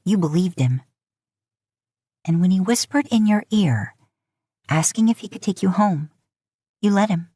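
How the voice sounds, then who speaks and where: A woman's voice: medium pitch, animated, measured pace, pauses often, warm tone, slightly rough, no room sound, clean studio sound. A woman reading scripted second-person romantic fiction as a podfic narrator.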